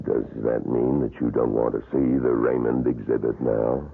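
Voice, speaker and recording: A grim wax museum guide in an old radio drama, unfolding events in a measured, ominous way. His voice is extremely deep, husky and gravelly. It sounds thin and phone-like, like a lo-fi broadcast. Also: little pitch movement, full character voice. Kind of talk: 1970s radio drama acting.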